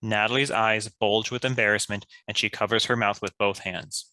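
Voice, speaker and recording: A man's voice: fairly low pitch, steady tone, moderate pace, bright, forceful tone, no room sound. A man reading stage directions as scripted narration for a table read.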